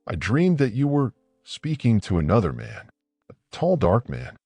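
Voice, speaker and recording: Man playing an earnest young husband, husky. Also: lowish voice, wildly swooping pitch, moderate pace, no echo, faint music behind, heavily acted. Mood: suspicious. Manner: earnest, hushed when doubtful. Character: young bridegroom, admiring, later quietly suspicious.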